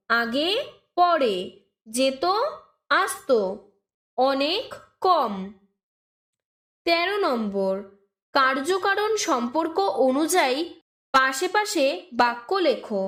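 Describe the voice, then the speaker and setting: A woman with a high-pitched voice reads out a school lesson very slowly. She breaks it into short, deliberate phrases, as if dictating to young pupils. The room around her is clearly echoey. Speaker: teacher presenting textbook answers to young pupils. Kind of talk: scripted lesson read aloud, dictation-like.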